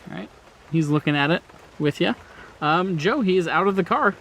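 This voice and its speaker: A man's voice: high voice, animated, moderate pace, fairly bright tone, slight background noise, poor audio. A man narrating a tabletop roleplaying game as game master in an informal, conversational way.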